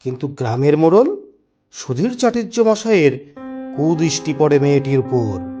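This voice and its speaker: A man playing a knowing village gentleman in a horror cartoon, who explains local ghost lore in an emphatic, theatrical way. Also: fairly high pitch, lively intonation, moderate pace, muffled recording, over music, natural voice. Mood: grave storytelling. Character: knowing village gentleman, reassuring yet scolding, telling a ghost tale. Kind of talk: dramatic cartoon story dialogue.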